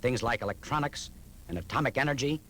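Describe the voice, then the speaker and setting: A man's voice: mid-range voice, quick pace, pushed and bright. A man as a public service announcer, narrating a 1960s radio spot on an old AM tape. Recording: noisy 1968 AM radio tape.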